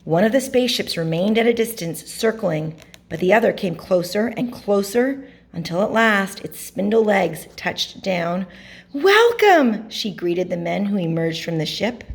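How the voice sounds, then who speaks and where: A woman reading a picture book aloud to children, narrating expressively with dramatic pauses and emphasis. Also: medium pitch, gravelly, husky. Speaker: storybook reader.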